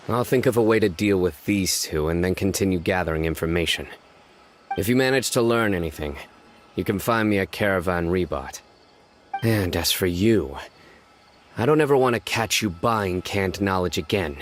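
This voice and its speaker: An actor playing a stern investigator in a video game, measured, grave and commanding. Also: male voice, deep voice, expressive, soft background music. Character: stern, deep-voiced male investigator and judge.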